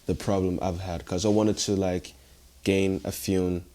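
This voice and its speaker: Soft-spoken teen guy, very deep. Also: level tone, a little slow. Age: teens. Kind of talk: casual chat.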